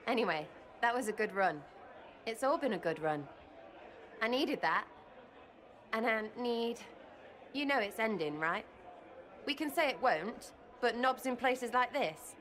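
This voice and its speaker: A woman's voice: mid-range voice, fairly bright tone. An actress voicing a brash, irreverent young female elf rogue in a video game who talks in cheeky, blunt slang.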